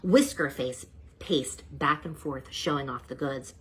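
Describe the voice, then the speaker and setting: A woman reading a children's storybook aloud as narrator, with an expressive storytelling manner. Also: low voice, unhurried, husky. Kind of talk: children's book read-aloud.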